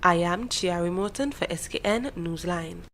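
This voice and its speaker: A woman's voice: on the low side, normal speed, loud music behind the voice. A woman reading a scripted news report as a reporter. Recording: clearly noisy background.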